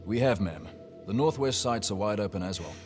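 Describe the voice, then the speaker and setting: A man's voice: fairly low pitch, expressive, fairly quick, close to an everyday voice. A man playing a dutiful mercenary in a video game, reporting in a deferential, matter-of-fact way. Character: dutiful male mercenary reporting to his boss. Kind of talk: cinematic video game dialogue. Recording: faint game music behind.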